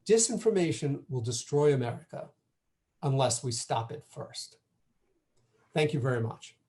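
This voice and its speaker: A man finishing a prepared speech, emphatic and deliberate. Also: mid-range voice, expressive, a little mellow. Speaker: conference speaker. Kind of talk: closing lines of a prepared speech.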